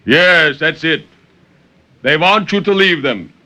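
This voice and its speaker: A male actor as a defiant, hulking sea outcast from a 1950s radio play. His voice is bright and forceful, rather high, with mocking courtesy stretched out theatrically. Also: animated, slow pace, full character voice. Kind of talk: 1950s radio drama acting. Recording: dull, noisy 1950s radio recording.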